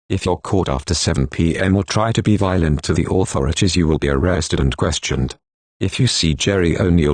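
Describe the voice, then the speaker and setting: A man reading a scripted emergency broadcast announcement in a formal, flat, stilted synthetic manner. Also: rumbling low, dry recording.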